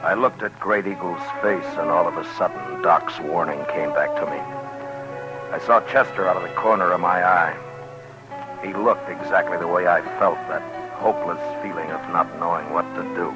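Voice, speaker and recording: Man playing deep-voiced, stern frontier lawman. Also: natural voice, low-quality recording. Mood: somber. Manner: deliberate, restrained, halting pauses. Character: stern, weary frontier lawman, measured and grave. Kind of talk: 1950s radio western acting with first-person narration. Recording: 1950s radio broadcast with music.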